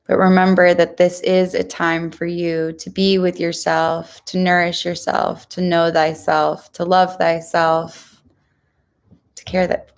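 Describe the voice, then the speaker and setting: Female meditation guide, unhurried and slightly low-pitched, gentle and reassuring, informal instructor tone. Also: creaky. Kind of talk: guided mindfulness meditation, addressed to viewers.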